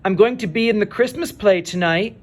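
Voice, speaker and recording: A man's voice: high voice, a little fast, voice pushed up, close to an everyday voice. A man voicing an earnest, eager young girl in a children's storybook read-aloud. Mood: eager, inviting. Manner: earnest, wide-eyed, eager.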